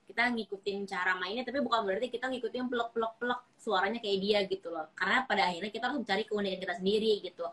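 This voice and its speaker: A young woman from Java, a voice-over talent, chatting in a casual interview in a lively way. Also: medium pitch, rough recording. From Java, Indonesia. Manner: chatty, lively, drops into quick voice impressions.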